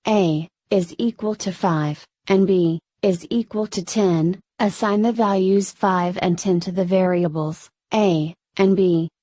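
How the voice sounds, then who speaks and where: A woman narrating a programming lesson in a flat, robotic-sounding voice, reading slowly and evenly from a script. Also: on the low side, dry recording, studio quality. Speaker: programming tutor.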